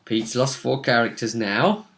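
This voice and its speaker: Male game master, medium pitch, conversational and loose, recapping play, sentences often left hanging. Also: unhurried, creaky, fairly bright tone. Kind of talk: casual chat recapping a tabletop role-playing game.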